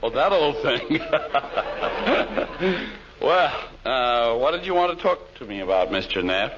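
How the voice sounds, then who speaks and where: An actor playing a dull, gullible, stubborn husband with a naive manner in 1940s radio comedy style. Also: male voice, medium pitch, lively intonation, measured pace, a bit bright. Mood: mild, naive. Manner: naive, literal-minded. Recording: noisy, muffled old radio recording.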